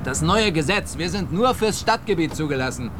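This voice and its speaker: An actor playing a wary city cab driver in a German radio drama. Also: male voice, fairly high pitch, expressive, normal speed, background noise, natural voice. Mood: matter-of-fact, warning.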